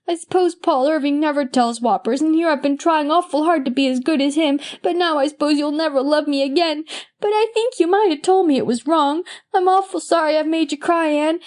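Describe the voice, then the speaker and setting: A mischievous six-year-old boy, blunt and earnest, as a woman reader puts him on. His voice is pitched well up and childish, with a soft, mellow tone and plain country turns of speech. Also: close to an everyday voice. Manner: childish, pleading, colloquial.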